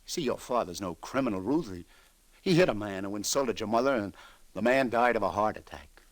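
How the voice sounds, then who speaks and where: Man acting low-voiced, earnest old-radio police lieutenant. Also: animated, no echo, close to an everyday voice. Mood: earnest, reassuring. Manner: halting, earnest, searching for words. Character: sympathetic, plain-spoken New York police lieutenant, gently evasive. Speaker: radio actor playing a detective. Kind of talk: 1940s-50s radio drama acting.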